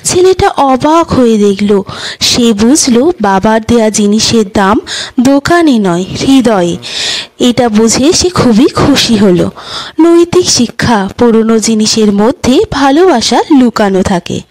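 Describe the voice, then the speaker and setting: A woman reading short children's moral stories as scripted narration, in a measured, deliberate storytelling manner. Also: a little high, lively intonation, darkish tone, low-quality recording. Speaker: storyteller and channel host.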